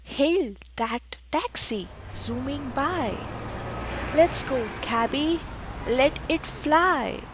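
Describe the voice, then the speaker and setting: Very animated high-voiced woman reading to kids. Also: drawn-out words, background noise. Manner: theatrical, exclamatory, rhythmic delivery of rhyming lines. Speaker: children's book reader. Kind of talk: animated picture-book read-aloud for preschoolers. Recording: music behind the voice. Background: music.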